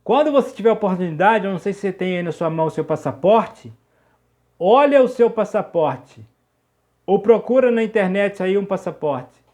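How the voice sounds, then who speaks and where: A Brazilian man with a notably high-pitched voice preaches informally. He explains things in a didactic way, restating key phrases and often stopping to check that the listener follows. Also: lively intonation, normal speed, darkish tone. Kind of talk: informal sermon, teaching talk.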